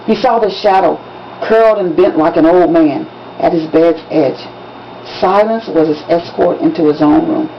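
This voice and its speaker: A woman, an author reading from a novel in an expressive, dramatic audiobook style with emphatic storytelling. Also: fairly low pitch, moderate pace, gravelly. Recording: dull lo-fi recording with background noise.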